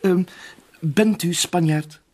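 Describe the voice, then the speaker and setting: An actor with a Flemish accent playing a proper, careful police inspector in a crime drama. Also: male voice, high voice, slow, a little mellow, breathy edge, heavily acted.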